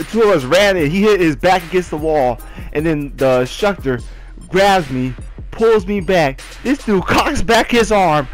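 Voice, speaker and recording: A young American man telling a story casually in a slangy, dramatic way, acting out moments with sound effects. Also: high voice. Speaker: gaming video storyteller. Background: music under the voice.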